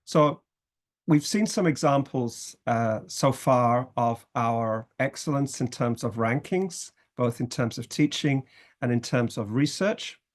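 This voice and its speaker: A middle-aged British man, a law professor, presenting a university programme in measured phrases with some fillers. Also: mid-range voice. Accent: British English. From Britain, family from Scotland, raised in England.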